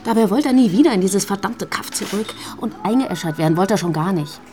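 An actress playing a bitter, grieving young pregnant girlfriend in a naturalistic radio drama, speaking haltingly and emotionally. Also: medium pitch, lively intonation, dark tone, soft background music, close to an everyday voice. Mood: bitter, hurt. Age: young adult.

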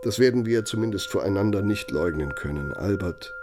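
An actor playing a cynical, bitter middle-aged architect and old Nazi functionary in a German radio play, gravelly, with a pointed, self-justifying delivery. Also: male voice, lowish voice, animated, fairly quick, music in the background, lightly acted. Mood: grave, confronting. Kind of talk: German radio play acting, dramatic monologue.

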